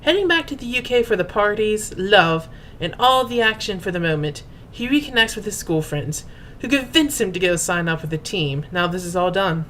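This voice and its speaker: A woman's voice: medium pitch, expressive, moderate pace, few pauses, a bit bright. A woman delivers a dramatic reading with mock grandeur. Her phrasing is formal and pompous, in a mid-range voice. A faint noise sits under the home recording. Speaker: host and reader. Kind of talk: theatrical dramatic reading of fan fiction.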